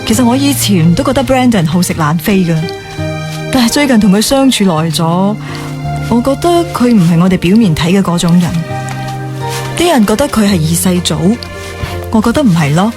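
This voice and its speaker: A woman's voice: mid-range voice, animated, a little fast, natural voice. A woman acting a devoted assistant in her twenties in a radio drama, speaking sincerely and soothingly. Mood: earnest, modest. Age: 20s. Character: caring young female assistant, thoughtful, devoted, earnest. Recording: background music under the voice.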